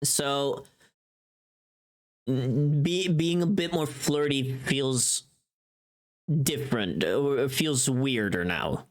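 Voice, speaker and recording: A woman's voice: very deep voice, extremely slow, pauses often. A woman livestreamer chatting casually in a playful, teasing, informal way, often thinking aloud and trailing off.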